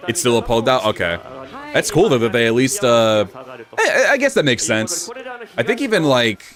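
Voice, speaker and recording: A young man streaming, chatting casually in an even, low-key way with sudden bursts of excitement. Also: normal speed. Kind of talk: casual live-stream reaction chat.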